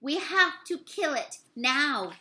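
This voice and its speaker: A woman's voice: high-pitched, big pitch swings, takes it slowly, pushed and bright, full character voice. A woman voicing an earnest, educated, frail boy in a storybook, speaking emphatically and precisely, prone to getting flustered. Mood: urgent.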